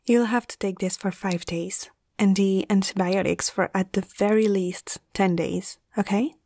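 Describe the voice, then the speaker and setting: A woman playing a caring young female veterinarian in a soft, hushed ASMR roleplay, speaking gently and a little hesitantly. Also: mid-range voice, steady tone, unhurried, no echo. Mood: focused, caring.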